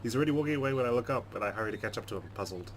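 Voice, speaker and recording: A man's voice: medium pitch, animated, very fast, a little hiss or hum. A man hosting a let's play, reading game narration aloud and adding casual commentary. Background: faint game music.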